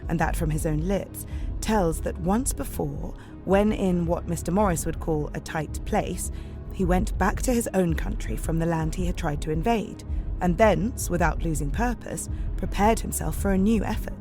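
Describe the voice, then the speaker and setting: Woman acting low-voiced, composed Victorian lady. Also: normal speed, close to an everyday voice. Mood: analytical, assured. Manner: precise, formal, reasoning aloud. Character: intelligent, composed Victorian married woman, analytical and assured. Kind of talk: audio drama acting. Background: music.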